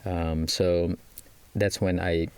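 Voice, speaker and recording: A man's voice: low voice, flat delivery, takes it slowly, darkish tone, clear, resonant tone, no echo. A Malaysian man in his thirties, a business guest in an interview, speaking with a Malaysian accent and many fillers and restarts. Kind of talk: casual interview talk.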